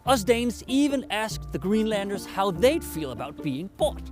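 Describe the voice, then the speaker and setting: A man's voice: sits high, big pitch swings, unhurried, music in the background. A man from Denmark, a native Danish speaker with a Danish accent, delivering a scripted comedic address to the camera in a dry, sarcastic, mock-polite way. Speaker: satirical presenter.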